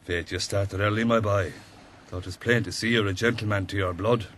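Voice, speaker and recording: An actor playing an older, avuncular Irish army captain, blunt and worldly, in a radio drama. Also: male voice, fairly low pitch, fairly even intonation, fairly quick, a bit bright, slight background noise, lightly acted. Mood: affectionate.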